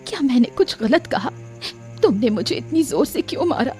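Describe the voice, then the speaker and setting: A woman's voice: on the high side, animated, normal speed, faint music behind, close to an everyday voice. A woman playing a meek, pleading, mistreated village wife in a cartoon story. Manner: soft-worded, pleading.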